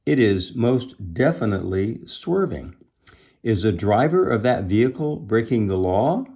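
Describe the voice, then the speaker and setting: A man voicing a self-important, by-the-book storybook police officer, deliberate and emphatic in his delivery. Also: lowish voice, big pitch swings, dark tone, voice pushed up. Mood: pompous.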